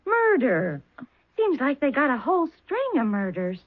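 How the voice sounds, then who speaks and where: A woman's voice: sits high, wildly swooping pitch, measured pace, no room sound, full character voice. A doting, chatty mother. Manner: homely and fussing. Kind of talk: 1970s radio drama acting. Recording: tinny old radio broadcast.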